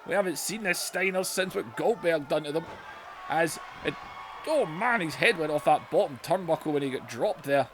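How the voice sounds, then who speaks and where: Excitable high-voiced man commentating wrestling. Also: moderate pace, crowd noise, background noise. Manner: excitable, shouts and laughs at big moves. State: voice going hoarse. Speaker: play-by-play commentator for a wrestling video game.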